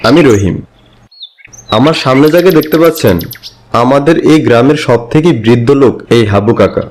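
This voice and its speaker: A man's voice: medium pitch, animated, moderate pace, a little mellow, slight background noise, close to an everyday voice. A man playing a young villager narrating a cartoon story, eager to hear old tales. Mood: friendly, curious. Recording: faint music behind the voice.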